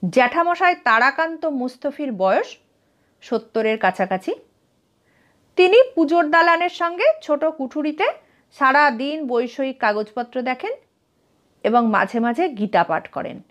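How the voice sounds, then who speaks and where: A woman tells a literary story in a high voice. Her delivery is slow and expressive, with pitch that moves about a great deal.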